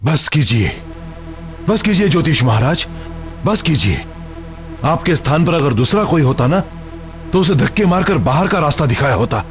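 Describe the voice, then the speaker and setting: A man's voice: fairly high pitch, big pitch swings, moderate pace, long pauses. A man voicing a kindly, middle-aged village chief and protective father in a cartoon mythological drama, earnest and formal. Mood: indignant. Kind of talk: animated mythological drama dub. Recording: background music under dialogue.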